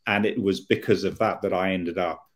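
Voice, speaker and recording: A man raised in Kenya with a posh Southern English accent, talking thoughtfully as a guest with many halting restarts. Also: deep voice, steady tone. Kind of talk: conversational interview.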